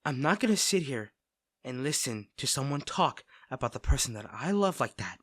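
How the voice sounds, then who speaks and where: A man playing a caring, teasing, protective best friend in a soft-spoken, conversational roleplay audio drama. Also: medium pitch, big pitch swings, normal speed, no echo, studio quality. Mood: protective, frustrated. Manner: fussing, tender, conversational.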